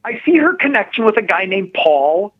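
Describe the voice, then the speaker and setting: Muffled phone line, man, high voice, animated political guest, emphatic and precise, conversational storytelling. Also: normal speed, breathy edge. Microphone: telephone. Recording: dull, narrow phone line.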